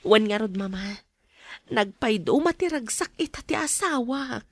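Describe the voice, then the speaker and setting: A woman with an Ilocano accent playing a gentle, devoted young wife and mother in a radio drama. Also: fairly high pitch, expressive, a little slow, no room sound. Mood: cheerful, respectful.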